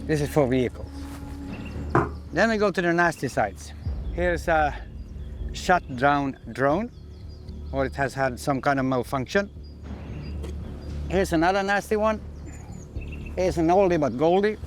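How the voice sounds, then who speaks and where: A man's voice: a little high, expressive, measured pace, pauses often, faint music behind. A man working as an instructor, explaining equipment in an informal hands-on briefing. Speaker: military instructor.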